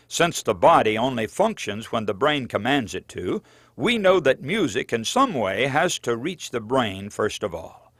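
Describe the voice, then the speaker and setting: A man reading his own book aloud as scripted audiobook narration, in an earnest, measured preaching cadence. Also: medium pitch, a bit bright, no echo, clean studio sound.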